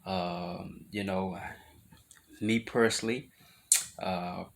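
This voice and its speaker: An adult man, talking casually to his viewers in a loose, rambling way, full of fillers and restarts, rising in volume when he drives a point home. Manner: grows louder and higher when making a point. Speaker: vlogger. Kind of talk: casual talk to camera.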